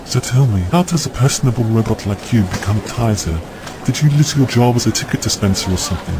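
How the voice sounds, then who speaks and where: An actor playing a curt, covetous, impatient thief in a science fiction radio comedy. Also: male voice, mid-range voice, lively intonation, quick pace, very noisy, natural voice. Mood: curious. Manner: curt, dismissive. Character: brusque, covetous male thief, impatient and scheming.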